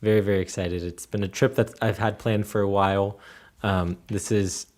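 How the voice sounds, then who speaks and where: A man's voice: lowish voice, steady tone, normal speed, a little mellow. A man co-hosting a podcast, chatting casually and often thinking aloud. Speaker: podcast co-host. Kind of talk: casual chat.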